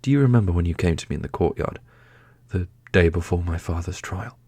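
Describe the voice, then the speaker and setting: A man's voice: sits low, fairly quick, mellow, husky, no room sound. A man playing an earnest, steadfast warrior prince in a fantasy audio roleplay, speaking with serious deliberation. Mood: tender. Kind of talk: ASMR fantasy roleplay acting.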